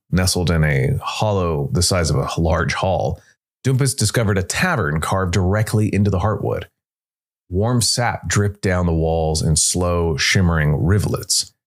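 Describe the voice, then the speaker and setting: A man hosting a story podcast, reading scripted narration. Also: deep voice. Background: music.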